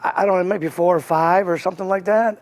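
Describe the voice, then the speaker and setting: A man's voice: high voice, fairly even intonation, fast talker. A man from Connecticut with a slight Boston accent, telling his life story as an interview guest in an earnest, rambling way with many restarts. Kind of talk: casual conversational interview.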